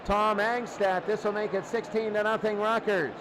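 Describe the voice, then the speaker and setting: High-pitched male football announcer over loud noise. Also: moderate pace. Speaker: play-by-play sports announcer. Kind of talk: American football radio commentary. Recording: loud steady noise behind the voice.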